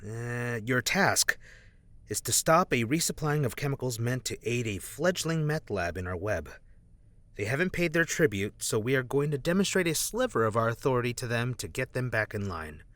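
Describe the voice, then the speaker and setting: A man plays an anxious young undercover agent with a street punk past. His pitch swings widely in an edgy, exclamatory audio drama performance, recorded close and dry. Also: very animated, normal speed, fairly bright tone, no room sound. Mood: reading aloud, wary.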